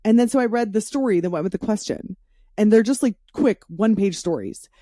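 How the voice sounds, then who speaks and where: A woman's voice: mid-range voice, lively intonation, a little fast, mellow, dry recording, clean studio sound. A woman hosting a podcast in a casual, chatty, informal way, speaking freely with swearing. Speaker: podcast host. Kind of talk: casual solo intro and interview chat.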